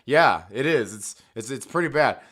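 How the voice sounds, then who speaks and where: Man, hoarse, joking, profane live-stream chatter, bright tense tone. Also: mid-range voice, lively intonation, moderate pace. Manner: profane, joking, rambling, reads chat aloud. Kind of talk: casual live stream chat.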